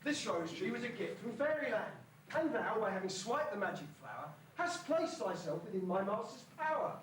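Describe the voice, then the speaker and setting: A man's voice: high voice, sing-song, moderate pace, slight background noise. A man playing a pompous, loyal servant in an amateur pantomime, declaiming grandly in archaic rhyme. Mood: grave alarm. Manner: grand, declamatory, archaic thee-and-thou speech. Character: pompous, loyal old-world servant of an enchanted lord. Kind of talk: amateur pantomime stage acting in rhyming verse. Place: school hall stage. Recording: echoey distant hall recording.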